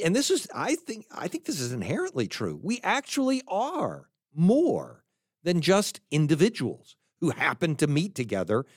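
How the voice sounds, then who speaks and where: An American man giving an unscripted philosophy talk, thoughtful and halting, with frequent restarts and asides. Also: a little high, no echo, studio quality. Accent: General American. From United States. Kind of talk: unscripted spoken lecture.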